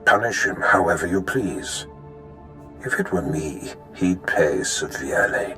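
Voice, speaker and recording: An actor playing a calculating, imperious Sith master in a video game, speaking in a measured, formal way. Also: male voice, low voice, lively intonation, sharp tone, soft background music, heavily acted. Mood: cold, commanding. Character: menacing Sith master, calculating, imperious older male villain. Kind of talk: cinematic game voice acting.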